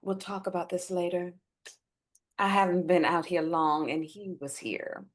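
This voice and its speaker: A woman's voice: lowish voice, fairly even intonation, a little slow. A woman playing a guarded, burdened wife and determined community organizer in a screenplay reading. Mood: withdrawn. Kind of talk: live staged screenplay reading.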